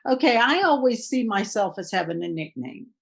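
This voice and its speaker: A woman's voice: on the high side, animated, normal speed, vocal fry, breathy edge. A woman who grew up in Thailand, a guest author chatting casually in an interview and laughing as she tells anecdotes.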